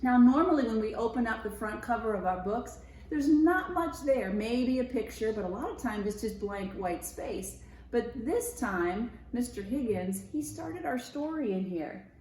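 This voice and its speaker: A woman's voice: on the high side, animated, normal speed, a little mellow, a little hiss or hum. An American woman reading a picture book aloud to children as a school media specialist, with conversational explanatory asides. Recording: roomy echo.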